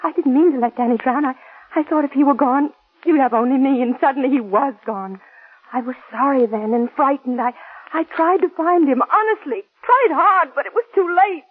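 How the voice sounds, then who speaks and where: An actress playing a possessive, jealous young wife in an intense, melodramatic 1940s radio drama style. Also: female voice, high-pitched, moderate pace, natural voice. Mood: frantic, desperate. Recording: muffled, scratchy 1940s radio broadcast.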